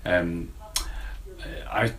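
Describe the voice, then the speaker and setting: A man in his forties from the United States with a slightly Scottish-tinged American accent, answering interview questions thoughtfully and with many pauses. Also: fairly bright tone, a little hiss or hum, poor audio. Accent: American with Scottish influence. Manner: thoughtful, hesitant with many fillers. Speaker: university lecturer.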